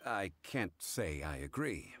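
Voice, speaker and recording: Unruffled scholarly gentleman: very low voice, expressive melody, formal phrasing, game dialogue. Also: takes it slowly, creaky, a little hiss or hum, heavily acted. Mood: calm, reasoned. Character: calm, learned, courteous gentleman of refined wisdom. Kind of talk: English game voice acting.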